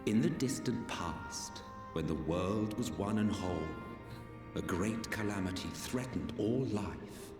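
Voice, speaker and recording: An ancient, condescending immortal villain from a fantasy game. His low voice swings dramatically through archaic, formal lines. It rings from a distance in an echoing hall over loud music. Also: expressive, slow pace. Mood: solemn storytelling. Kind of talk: dramatic video game acting.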